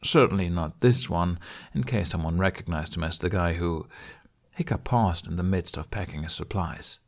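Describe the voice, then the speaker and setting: A man narrates a story in a low-pitched voice with a pronounced gravelly creak. He reads scripted fiction in the manner of an audiobook narrator.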